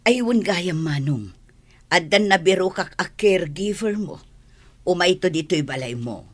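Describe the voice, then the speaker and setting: A woman's voice: fairly low pitch, animated, unhurried. A woman playing a practical, middle-aged family woman who scolds and gives orders. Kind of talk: radio drama acting.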